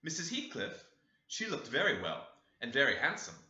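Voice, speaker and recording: A man voicing a polite, inquisitive gentleman tenant in an audiobook, courteous and questioning. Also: medium pitch, wildly swooping pitch, moderate pace, full character voice. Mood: polite, interested. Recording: roomy, echoey, slightly dull sound.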